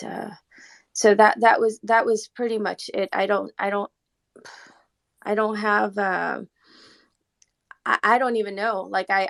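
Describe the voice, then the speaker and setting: Chatty woman with heavy vocal fry. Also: medium pitch. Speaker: singer, audience participant. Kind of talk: casual chat.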